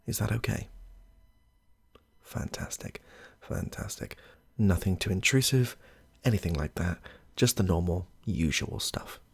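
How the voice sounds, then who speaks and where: Man playing a soft-spoken, deep-voiced British therapist. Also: animated, measured pace, vocal fry, breathy edge, exaggerated voice. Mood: welcoming, reassuring. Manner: gentle, reassuring, murmuring small approvals. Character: polite, chatty, slightly odd male therapist greeting a client. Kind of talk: soft-spoken ASMR roleplay.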